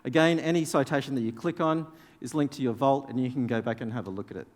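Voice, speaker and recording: A man whose first language is Danish gives a live software demonstration to a conference audience, explaining each step in a casual way and putting questions to the room. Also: medium pitch, quick pace. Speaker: conference presenter. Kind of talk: live software demo talk. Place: large conference hall. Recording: reverberant conference hall.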